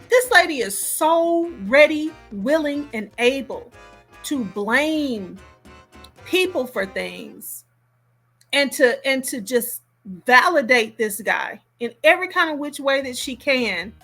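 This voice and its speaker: A woman's voice: sits high, big pitch swings, slow, a bit bright. Woman giving emphatic casual commentary, music behind. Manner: emphatic, disapproving, repeats points for emphasis. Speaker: host reacting to a recorded call.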